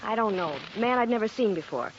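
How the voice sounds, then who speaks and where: An actress playing an anxious, evasive secretary with a guilty secret in a 1940s radio drama. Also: female voice, on the high side, lively intonation, a little fast. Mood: defensive. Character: nervous, evasive American female secretary hiding guilt. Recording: muffled, noisy 1940s radio recording.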